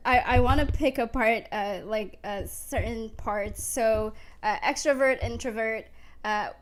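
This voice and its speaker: A woman's voice: on the high side, measured pace. A woman hosting a casual interview, talking in an effusive, gushingly enthusiastic way. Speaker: talk show host.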